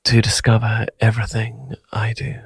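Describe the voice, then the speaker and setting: A British man giving an intimate, husky, partly whispered ASMR sign-off as channel host. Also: on the low side, monotone, moderate pace, dry recording. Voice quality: husky, breathy.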